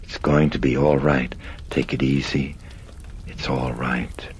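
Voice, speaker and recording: A calm, soft-spoken priest who soothes a distressed woman, played by a man. The voice sits extremely low with a gravelly fry and a faint hiss behind it. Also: measured pace. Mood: gentle. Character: calm, gentle male priest, concerned and soothing.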